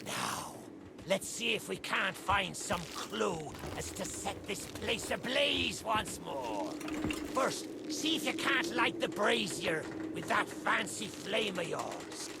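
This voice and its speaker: An actor playing a greedy, roguish old skull in a video game, with a theatrical storytelling manner. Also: male voice, sits high, very animated, slow, creaky, very noisy, heavily acted. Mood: scheming, helpful. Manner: theatrical storytelling, archaic pirate dialect. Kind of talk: video game character acting.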